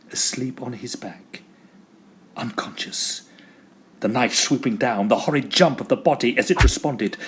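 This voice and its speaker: A man playing an earnest Edwardian gentleman who tells his ghost-hunting tale in formal, suspenseful phrases, as audio drama. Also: mid-range voice, slight background noise, close to an everyday voice. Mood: hushed suspense. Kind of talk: dramatic first-person storytelling, audio drama. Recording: music bed under the voice. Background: music.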